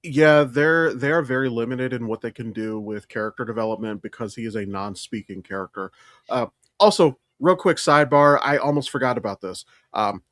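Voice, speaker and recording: Man, podcast co-host, casual film talk, blunt, profane, mid-pitched voice, dry recording. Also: a bit bright, dry recording. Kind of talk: casual chat, film commentary.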